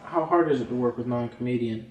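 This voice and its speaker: A man's voice: medium pitch, quick pace, darkish tone. A man working as an interviewer, asking questions in a casual conversational way. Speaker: interviewer, journalist. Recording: echoey, distant 1970s interview tape.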